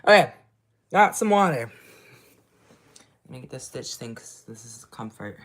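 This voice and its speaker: A woman's voice: rumbling low, sing-song, unhurried, slight background noise. A woman hosting a casual livestream, chatting in an upbeat way, repeating herself and greeting viewers as they arrive. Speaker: livestream host.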